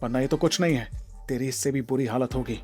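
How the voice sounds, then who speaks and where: A man's voice: medium pitch, fast talker, darkish tone, husky, faint music behind, close to an everyday voice. An actor playing a protective, angry young man in an audio drama, firm and menacing as he threatens. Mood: menacing anger. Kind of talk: Hindi audio drama acting.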